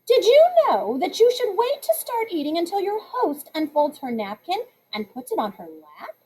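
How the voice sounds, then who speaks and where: A woman's voice: extremely high pitch, very animated, normal speed, darkish tone, slight background noise, voice pushed up, poor audio. A woman reading aloud, voicing a prissy know-it-all schoolgirl who lectures on manners in a preachy, sing-song way. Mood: prim.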